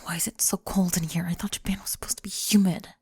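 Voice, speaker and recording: A young woman acts out a flustered, guarded female college student in an ASMR roleplay. Her voice is on the low side with plenty of creak, often sinking into soft whispers. She talks haltingly and self-consciously, very close and dry on the mic. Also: fairly even intonation, no room sound. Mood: irritated, guarded. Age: young adult. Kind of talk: ASMR roleplay, scripted intimate monologue. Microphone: close microphone, dry.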